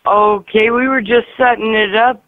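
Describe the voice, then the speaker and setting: A woman's voice: a little high, steady tone, moderate pace, no room sound. A woman in an unscripted phone conversation over a lo-fi line, sounding baffled and defensive. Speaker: yard sale seller answering a prank call. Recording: muffled lo-fi telephone line.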